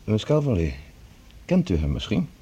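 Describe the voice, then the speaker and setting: An actor playing a brisk, probing male scientist who is calmly in charge, in radio drama style. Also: lowish voice, breathy edge, lo-fi sound, heavily acted. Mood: brisk, questioning.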